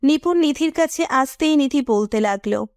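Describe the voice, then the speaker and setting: Female narrator for romantic audio fiction, high pitch, dry close recording. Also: lively intonation, moderate pace, darkish tone, no room sound. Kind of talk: scripted romantic story narration.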